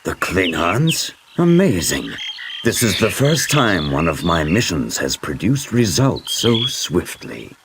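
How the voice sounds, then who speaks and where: A man's voice: low-pitched, very animated, takes it slowly, heavily acted. An actor playing a serene, grandiose man who poses as a god in a game, with a lofty, theatrical, preacherly delivery. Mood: lofty, amused. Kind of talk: scripted sci-fi game voice acting. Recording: clear background noise.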